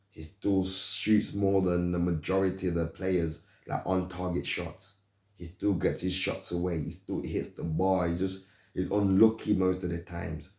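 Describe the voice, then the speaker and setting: A man with a low, mellow voice talks football in a loose, slangy ramble, often restarting his sentences. English is not his first language. His desk mic picks up a roomy echo from the home space he records in. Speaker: football pundit, channel host. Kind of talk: casual football talk.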